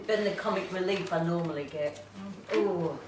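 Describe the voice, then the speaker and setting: A woman's voice: fairly low pitch, normal speed, distant mic, a little hiss or hum, natural voice. A woman playing a wry, down-to-earth, teasing actress in a comic radio play. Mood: self-satisfied. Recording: echoey room, off the mic.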